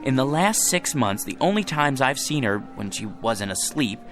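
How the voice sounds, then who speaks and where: An actor playing a weary, devoted, long-suffering husband in a radio drama, earnest and wry. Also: male voice, mid-range voice, very animated, normal speed, fairly bright tone, faint music behind. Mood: frustrated, wry.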